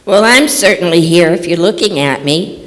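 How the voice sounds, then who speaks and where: A woman's voice: fairly low pitch, lively intonation, normal speed, lightly acted. A woman playing a brash, feisty American wife and mother in an old-time radio stage play. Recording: echoey hall, stage performance.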